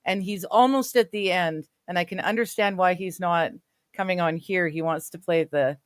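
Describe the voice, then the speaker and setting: A woman's voice: fairly low pitch, moderate pace, dry recording, clean studio sound. A woman in her forties chatting casually with friends on a stream, laughing often and poking fun at herself. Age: 40s. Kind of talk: casual chat.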